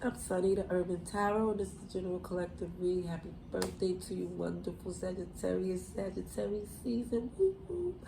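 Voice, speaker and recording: A woman's voice: lowish voice, fairly quick, vocal fry, hardly any pauses, noisy background. Stuffy-nosed woman reading tarot. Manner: rambling, loose, lots of "you know" and "like". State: stuffy, nasal from a cold. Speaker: tarot reader. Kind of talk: casual tarot reading to camera.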